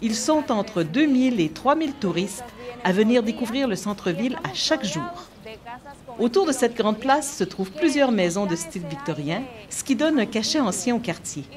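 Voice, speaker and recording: A woman's voice: fairly high pitch, very animated, moderate pace. A woman of nineteen from the Dominican Republic, hosting a travel show in a scripted presenting style. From Puerto Plata, Dominican Republic. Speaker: host and local guide. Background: background music.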